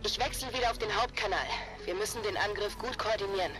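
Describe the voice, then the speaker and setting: A woman's voice: medium pitch, quick pace, lightly acted, rough recording. A woman voicing a resolute but nervous young heroine in a German-dubbed video game, speaking urgently and earnestly. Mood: focused, secretive. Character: young heroine, resolute leader fighting her nerves. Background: game music.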